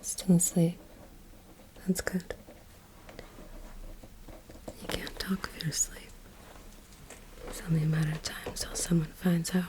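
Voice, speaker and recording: An actress playing a cool, mysterious woman in an ASMR noir drama, speaking close in a soft, coaxing whisper. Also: lowish voice, level tone, measured pace, long pauses, close to an everyday voice, poor audio. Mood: hushed, wary. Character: cool, mysterious woman tending a drugged man, watchful.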